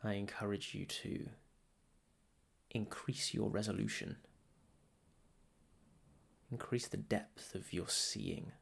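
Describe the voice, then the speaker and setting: A man teaches meditation in a soothing, gravelly voice that stays very even in pitch. He moves very slowly and deliberately, setting down short phrases with long pauses between them. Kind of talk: guided meditation.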